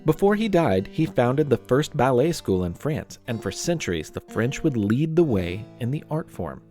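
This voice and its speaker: A man's voice: mid-range voice, sing-song, normal speed, warm tone, faint music behind. A man narrating scripted history as a podcast host, with playful, wry asides.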